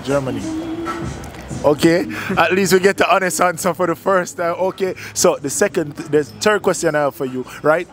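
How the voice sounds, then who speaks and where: Playful Jamaican-born street interviewer, high voice. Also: male voice, normal speed. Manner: playful, teasing, loud exclamations. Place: busy city street at night, outdoors. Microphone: handheld mic. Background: crowd noise.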